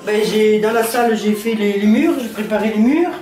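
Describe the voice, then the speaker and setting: A woman from the south of France, a resident, chatting hesitantly about renovating her flat. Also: medium pitch, moderate pace. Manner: hesitant, many fillers. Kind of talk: casual chat on a work site. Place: flat under renovation, indoors. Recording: echoey room from far off, music over.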